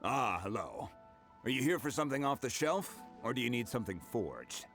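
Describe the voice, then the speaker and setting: An actor playing a humble, friendly blacksmith in a video game, with a folksy, hesitant way of talking. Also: male voice, mid-range voice, sing-song, moderate pace, fairly bright tone, soft background music. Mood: helpful. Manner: hesitant, folksy, chuckling, colloquial. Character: humble, friendly male blacksmith, a bit slow to catch on.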